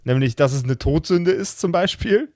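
Male podcast game master, slightly high voice, very dry close sound, casual and searching delivery with repeated words. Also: expressive, moderate pace, a little mellow, no echo. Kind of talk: casual world-building discussion for a role-playing podcast.

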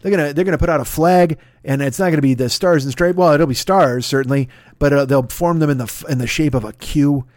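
A man's voice: on the high side, fast, hardly any pauses, a little mellow, no echo. A man hosting a podcast, delivering a profane, sarcastic comedic rant. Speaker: podcast host.

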